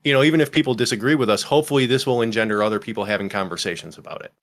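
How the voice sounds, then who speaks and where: A man's voice: mid-range voice, very fast. Rambling self-deprecating podcast host man. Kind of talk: casual chat.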